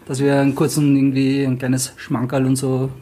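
A man's voice: medium pitch, monotone, brisk, background noise. A man presenting a book to an audience and reading a passage aloud in turns, often hesitating with fillers. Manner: hesitant, many fillers when speaking freely. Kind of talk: casual introduction and alternating reading aloud.

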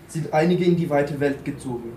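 A man with a slightly high voice reads a passage aloud in turns, sometimes tripping over words. The sound is roomy, with a faint hiss. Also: a little fast, dark tone. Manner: occasional stumbles over words. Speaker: co-presenter reading aloud. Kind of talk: alternating reading of a text.

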